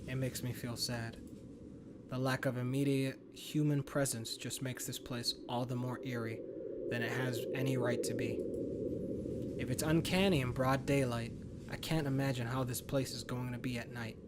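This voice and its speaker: A man's voice: medium pitch, steady tone, normal speed. A man reading a horror game's story narration aloud as a gaming host. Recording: clear background noise.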